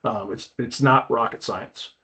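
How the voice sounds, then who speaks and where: A man hosting a podcast in a casual, chatty style with halting, informal phrasing. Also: mid-range voice, fairly even intonation, sharp tone. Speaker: podcast host, climbing wall builder. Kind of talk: casual chat. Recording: muffled, dull lo-fi audio.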